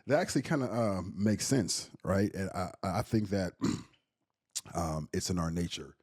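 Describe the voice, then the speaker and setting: A man's voice: deep voice, a little slow, darkish tone, slightly rough, no room sound, clean studio sound. A young man hosting a podcast in casual chat, sniffly from a cold and hesitant in his speech. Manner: hesitant, many fillers and restarts. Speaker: podcast host.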